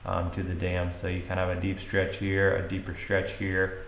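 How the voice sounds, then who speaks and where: A roomy, echoey voice-over from an American man with a fairly low voice. He explains things casually and off the cuff as a fishing guide. His delivery is hesitant, as if working it out while he talks. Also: completely flat delivery, moderate pace, vocal fry, a bit bright, slight background noise. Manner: hesitant, casual, thinking aloud. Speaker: fishing guide video host.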